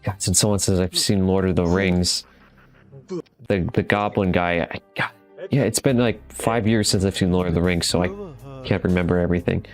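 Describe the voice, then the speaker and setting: A man giving casual, halting playthrough commentary as the host of a gaming video, rambling as he goes. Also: sits low, steady tone, faint music behind.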